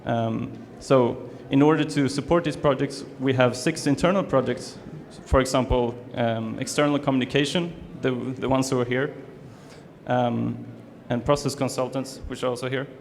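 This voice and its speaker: A man's voice: mid-range voice, steady tone, normal speed, slight background noise. A young man from Sweden giving a student presentation, hesitant with many fillers and restarts. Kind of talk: presentation to an audience. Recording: reverberant hall, faint ambience.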